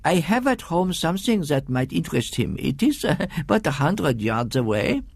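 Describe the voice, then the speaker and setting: Furtive old church sacristan played by a male reader, pitched up, humble, fearful, husky, for a storybook reading. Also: very animated, moderate pace, no echo, exaggerated voice. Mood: hesitant, eager. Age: older. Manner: hesitant, deferential. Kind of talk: audiobook ghost story character voice.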